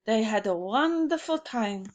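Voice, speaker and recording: A woman reading aloud as an old grandmother who tells tales with calm, serious conviction. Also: on the high side, a little slow, dull audio. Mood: grave. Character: stern old grandmother, grave and matter-of-fact.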